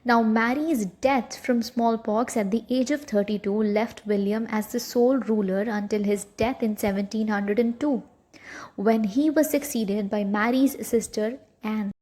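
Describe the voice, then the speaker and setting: A woman's voice: on the high side, unhurried, a little mellow. A woman reading scripted educational narration for a short history film.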